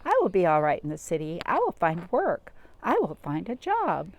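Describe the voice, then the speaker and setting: A woman's voice: on the low side, huge pitch swings, moderate pace, a little mellow, dull audio, slight background noise. A woman voicing an earnest, hopeful male horse looking for work in a children's storybook, in a plain, determined way.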